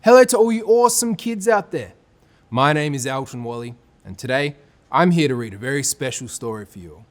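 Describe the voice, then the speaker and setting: Animated Australian man reading to children. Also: mid-range voice, a little fast. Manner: expressive, clear phrasing for children. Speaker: storytime presenter and reader.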